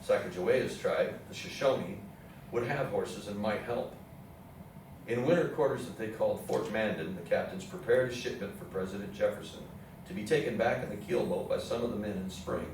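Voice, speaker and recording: Echoey, low-voiced man narrating history. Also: normal speed. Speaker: narrator in a readers theatre play. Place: indoor theatre room with audience program. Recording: echoey hall, distant mic, faint noise.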